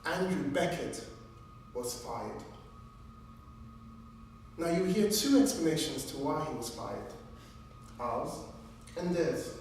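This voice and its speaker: Man acting a slow, deliberate lawyer, echoing hall. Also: a little high, lively intonation, vocal fry, heavily acted. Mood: solemn, measured. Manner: measured, emphatic, dramatic pauses. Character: earnest, deliberate trial lawyer addressing a jury. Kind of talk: theatrical courtroom monologue. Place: large echoing performance room, distant microphone. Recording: echoey hall from far off, faint noise.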